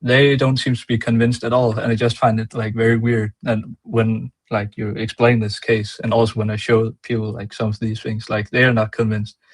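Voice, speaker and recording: A caller from Denmark talks haltingly, padding his sentences with fillers while he hunts for words. His voice is low and husky, and it stays flat and even in pitch. The sound is dry, with no room echo. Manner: hesitant, many fillers, searching for words. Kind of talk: casual call-in conversation.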